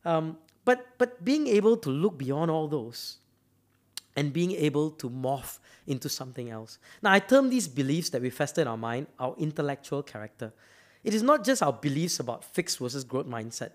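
A man's voice: fairly high pitch, sing-song, moderate pace. A man from Malaysia giving a motivational talk, emphatic and often repeating words as he builds up to a point. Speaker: speaker.

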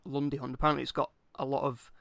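A man's voice: medium pitch, little pitch movement, racing, vocal fry, fairly bright tone, dry recording. British guy on a comedy podcast, casual and sweary, close dry sound. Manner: laughs through speech, irreverent, sweary. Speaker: podcast co-host presenting researched facts.

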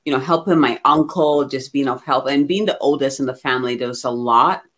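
A woman from Ghana talking candidly and reflectively about herself as a guest, in an expressive conversational way. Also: very deep voice, fairly quick. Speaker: guest receiving a card reading.

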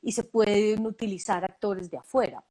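A woman, a casting director giving relaxed, thoughtful interview answers with many fillers and restarts. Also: mid-range voice, normal speed.

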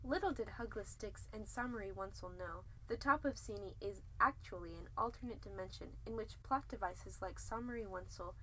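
Young woman as cheeky radio-comedy narrator; mid-pitched, storytelling delivery, faint noise behind. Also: fairly quick, few pauses, bright, forceful tone, close to an everyday voice, low-quality recording. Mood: wry.

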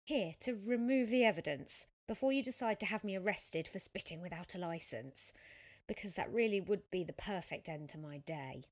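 A woman's voice: lowish voice, lively intonation, moderate pace. A woman voicing a nervous, self-deprecating seventeen-year-old schoolgirl in an audiobook, with a flustered, wry and dramatic manner. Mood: annoyed, sarcastic.

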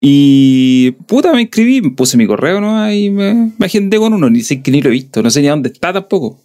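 A man's voice: fairly high pitch, very animated, a little fast, warm tone. A man from southern Chile with a Chilean accent, hosting a podcast in a rambling, chatty way. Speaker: podcast host. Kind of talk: casual podcast chat.

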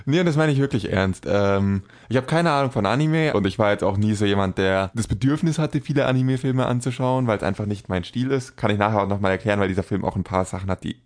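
Young man casting note: low voice, rambling emphatic podcast film reviewer. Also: fast, hardly any pauses. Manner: rambling, self-correcting, emphatic. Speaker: podcast co-host. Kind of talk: casual film review chat.

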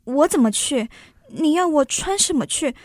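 An actress playing a demure, self-pitying young wife who longs for finery in a radio drama, speaking in a plaintive, delicate way. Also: female voice, sits high, lively intonation, moderate pace, darkish tone, no room sound, clean studio sound. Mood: upset, tearful. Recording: music behind the voice.

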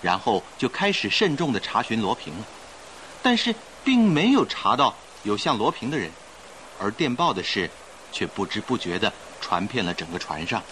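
An actor playing a suave, knowledgeable young gentleman in an old-style radio drama. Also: lowish voice, very animated, normal speed, slight background noise, low-quality recording. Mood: informative, grave.

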